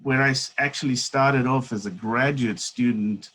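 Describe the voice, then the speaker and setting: A man in his fifties from Africa, telling his personal story as a guest speaker in a measured, unhurried way with frequent pauses. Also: mid-range voice, a bit bright. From South Africa. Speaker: guest speaker, seminary academic. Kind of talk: reflective personal storytelling in conversation.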